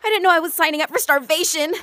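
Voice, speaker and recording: A woman's voice: sits high, very animated, fairly bright tone. A woman voicing a panicky young woman, the captain's niece, who dramatizes every setback. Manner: anxious, dramatic, fast when panicking.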